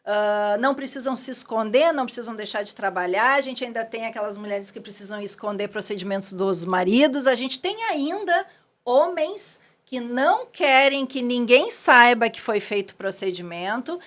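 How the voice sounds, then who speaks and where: A middle-aged woman with a Gaúcho accent talks as a podcast guest. Her voice sits a bit high, and she chats loosely, restarting sentences and tagging them with little asides. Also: expressive, moderate pace, a bit bright. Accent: Gaúcho Brazilian Portuguese. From Rio Grande do Sul, Brazil. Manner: chatty, with frequent restarts and "né" tags. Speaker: guest, clinic owner. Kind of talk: casual interview talk.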